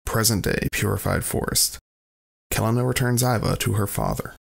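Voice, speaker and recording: A man's voice: on the low side, normal speed, gravelly. A man giving scripted narration of scene headings as a narrator.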